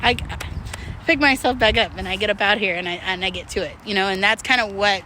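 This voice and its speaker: A woman's voice: medium pitch, quick pace. A woman talking candidly and casually to her followers while out walking, rambling and self-deprecating as she gives motivational encouragement. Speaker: vlogger. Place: outdoors, on a walk. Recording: outdoor background noise, urban traffic ambience.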